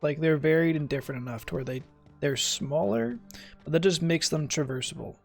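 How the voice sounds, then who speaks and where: A man's voice: a little high, a little fast, vocal fry. A man giving casual, playful, rambling gameplay commentary as a streamer. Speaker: gaming streamer. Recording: music behind the voice. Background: music.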